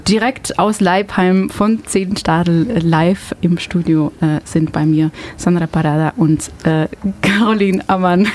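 A woman from Belgium hosts a relaxed radio chat in German, which is not her first language. She talks in a friendly, searching way, filling pauses with small hesitations. She speaks from a radio studio. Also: fairly low pitch. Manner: chatty, hesitant with many fillers. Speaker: radio host. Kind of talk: casual radio interview.

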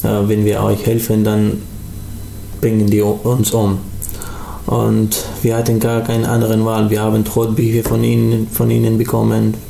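A young man from Afghanistan, speaking German as an as a guest in a radio interview, halting and full of restarts. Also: lowish voice, completely flat delivery, vocal fry, dark tone, echoey room, a little hiss or hum. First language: not German. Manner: halting, many restarts and fillers. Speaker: studio guest.

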